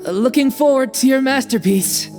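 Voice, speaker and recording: A woman's voice: high voice, moderate pace, over music. A woman playing an easygoing, tactless young theatre troupe member in a video game, with a casual, friendly delivery. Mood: casual, coaxing.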